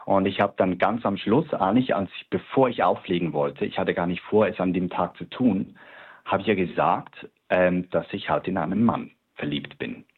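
A man's voice: sits low, normal speed, slightly rough. A man from Switzerland, a guest artist, telling his story in an interview with frequent hesitations and restarts. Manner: hesitant, many fillers and restarts. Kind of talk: personal interview account. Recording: tinny phone-like line.

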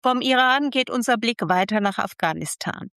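Woman presenting radio news, steady and plain. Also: fairly high pitch, moderate pace. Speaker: radio reporter and co-host.

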